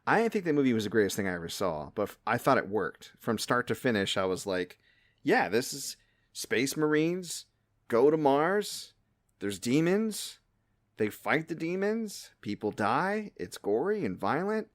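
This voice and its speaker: A man's voice: mid-range voice, big pitch swings, normal speed, no echo, clean studio sound. A man in his late thirties chatting casually about movies as a podcast co-host. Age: late 30s.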